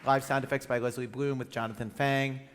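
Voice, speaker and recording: A man's voice: medium pitch, level tone, fairly quick. A man hosting a live stage show, reading out credits as an announcer in a large theater. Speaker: show host. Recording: loud crowd noise, reverberant theater.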